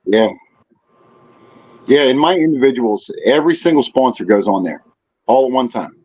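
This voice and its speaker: Slightly muffled, lo-fi audio of a man as a podcast guest. He has a medium-pitched voice and gives advice in a casual, rambling way, often dropping in filler phrases. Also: expressive, moderate pace, darkish tone. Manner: rambling, peppered with "you know". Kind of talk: casual chat, giving advice. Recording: dull, lo-fi audio.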